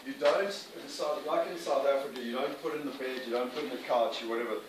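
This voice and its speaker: A loud-voiced man speaks up to a group walking around a property. He is recorded from a distance, so his voice sounds roomy and echoey. Loud background noise sits under his speech. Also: mid-range voice, steady tone, fast.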